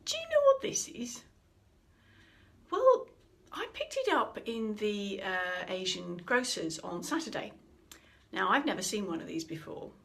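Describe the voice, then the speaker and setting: Middle-aged female trainer, animated and expressive, average pitch, teaches while acting out quoted lines. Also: measured pace, gravelly, pauses often. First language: English. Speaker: communication trainer. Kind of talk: instructional talk.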